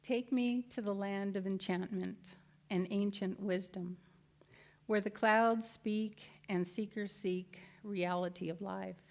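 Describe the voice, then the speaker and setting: Soft-spoken, nervous woman poet. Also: mid-range voice, fairly even intonation, slow pace. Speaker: guest reader and poet. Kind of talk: personal story and poetry reading.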